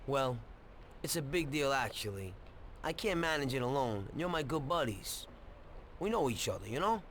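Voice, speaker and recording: An actor playing a greedy, chummy small-time American mobster in a 1930s crime game, with slangy, cajoling streetwise talk. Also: male voice, mid-range voice, sing-song, normal speed, fairly bright tone, slight background noise. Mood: coaxing, secretive. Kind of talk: video game cutscene acting.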